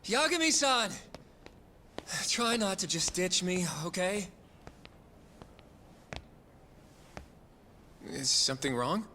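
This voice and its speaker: An actor playing an eager, polite younger sidekick in a detective game dub. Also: male voice, high-pitched, sing-song, measured pace, pushed and bright, slight background noise, full character voice. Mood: flustered. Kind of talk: English video game dub acting.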